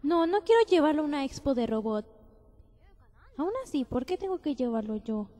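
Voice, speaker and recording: A woman's voice: high-pitched, animated, moderate pace, warm tone. A woman playing a sullen, irritable teenage big sister in an anime fan dub, grumbling and curt. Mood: indignant.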